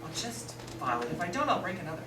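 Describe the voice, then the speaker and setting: A woman playing a vain, sharp-tongued drag queen, bossy and theatrical, in a stage drama. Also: fairly low pitch, big pitch swings, racing. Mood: grumbling. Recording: echoey room, distant mic, noisy.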